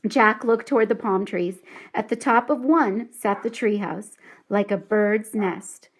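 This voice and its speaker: A woman reading a children's story aloud in an expressive, deliberate and dramatic storytelling style. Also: mid-range voice.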